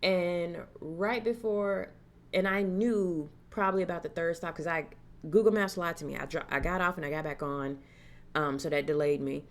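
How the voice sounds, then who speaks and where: A young woman vlogger telling a personal story in a casual, animated way, slipping into the voices of the people she quotes. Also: fairly low pitch, normal speed. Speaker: horror vlogger.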